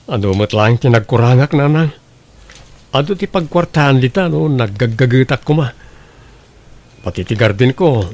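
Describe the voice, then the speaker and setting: A man's voice: mid-range voice, lively intonation, moderate pace, long pauses, darkish tone, lightly acted. A man with an Ilocano accent playing a humble, earnest young farmer son in an audio drama. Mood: remorseful.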